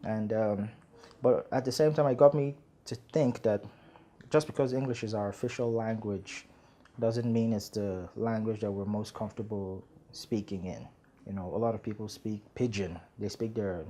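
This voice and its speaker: Relaxed, rambling man. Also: on the low side, normal speed, a little hiss or hum. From Nigeria. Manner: relaxed, rambling, many fillers and laughs. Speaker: screenwriter guest. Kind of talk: casual interview chat.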